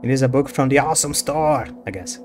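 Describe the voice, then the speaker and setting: A man's voice: medium pitch, fast, a little mellow. A man streaming a game with casual, off-the-cuff commentary. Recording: game music behind the voice.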